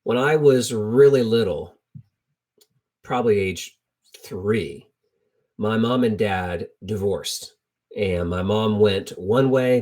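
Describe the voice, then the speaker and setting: A middle-aged American man tells Bible stories informally with personal anecdotes, in a halting, reflective way, repeating phrases for emphasis. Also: fairly low pitch, a little mellow. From United States. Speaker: lay Bible storyteller.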